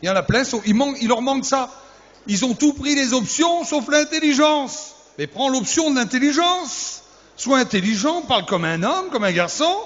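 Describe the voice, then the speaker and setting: A man's voice: very high voice, animated, moderate pace, a bit bright. A male youth pastor preaching to young people, teasing and humorous, firing off rhetorical questions and repeating key words for emphasis. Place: church hall. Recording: echoey hall, dull lo-fi sound.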